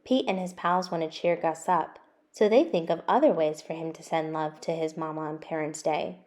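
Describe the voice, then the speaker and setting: A woman narrating a picture book aloud for children in an expressive storytime style. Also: low-pitched, normal speed. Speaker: storytime host and reader.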